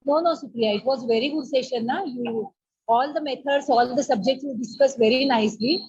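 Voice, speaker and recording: Female Indian organiser, husky voice, informal thanks, lo-fi web-call audio. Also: high-pitched, fairly quick, a little mellow, no room sound. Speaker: organiser thanking the guest speaker. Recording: dull, lo-fi online call.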